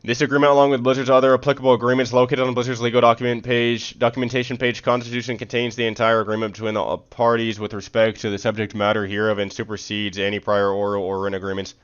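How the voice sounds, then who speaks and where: A man's voice: medium pitch, level tone, fast, hardly any pauses, smooth. A man livestreaming, reading formal text aloud and slipping into slangy, casual speech, stumbling over words and correcting himself, on slightly dull audio. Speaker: live streamer.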